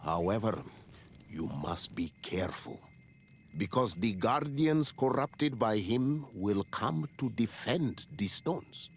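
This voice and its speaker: A grave island leader in a video game. The voice is fairly low and very slow, deliberate and protective, with faint ambience behind. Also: male voice, expressive, a little hiss or hum. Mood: grave counsel. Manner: deliberate, solemn.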